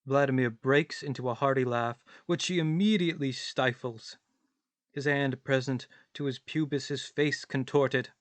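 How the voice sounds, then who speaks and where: A man's voice: medium pitch, steady tone, moderate pace, no room sound. A man reading stage directions as the narrator of a radio play, in scripted narration.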